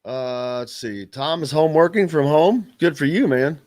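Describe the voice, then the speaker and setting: A man hosting a sports radio show, chatting casually, joking and rambling in an animated way. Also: a little high, measured pace.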